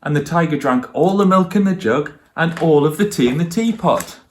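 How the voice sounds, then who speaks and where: A man's voice: on the high side, expressive, normal speed. Man reading a children's storybook. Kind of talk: children's storybook reading and friendly address to young listeners.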